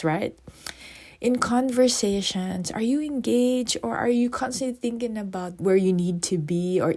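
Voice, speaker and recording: A middle-aged woman from the Philippines, husky this morning, hosting her podcast in a chatty way and asking her listeners questions. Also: mid-range voice. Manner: chatty, asks listeners questions, animated asides. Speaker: podcast host and psychotherapist. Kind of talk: podcast monologue.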